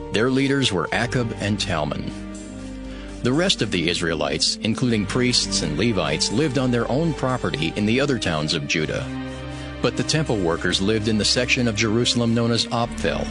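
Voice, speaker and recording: A man reading scripture as a scripted audio Bible narration, in a measured, formal way. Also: on the low side. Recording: music behind the voice. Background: background music.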